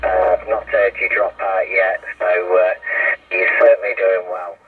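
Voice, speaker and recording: A man's voice: deep voice, flat delivery, unhurried, husky. A man talking casually as an operator over a two-way radio transmission. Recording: tinny narrow-band radio, far from mic.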